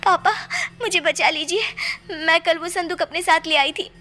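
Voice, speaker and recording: A woman playing a curious, easily frightened young daughter in an animated horror story, with dramatic, exclamatory delivery. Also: very high voice, moderate pace. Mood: terrified. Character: frightened, curious young daughter who discovers magic powers.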